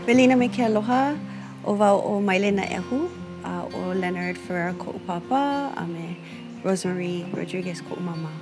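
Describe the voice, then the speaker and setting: A woman's voice: fairly low pitch, fairly quick. A woman from Hawaii who leads music workshops, speaking reflectively in a documentary interview and mixing Hawaiian words into her English. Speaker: music teacher and workshop leader.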